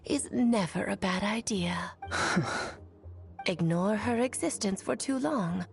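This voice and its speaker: A woman's voice: mid-range voice, slow, creaky, soft background music. A woman playing a poised, sly, mysterious mentor with a teasing, elegant manner in an anime game. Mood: gently cautioning.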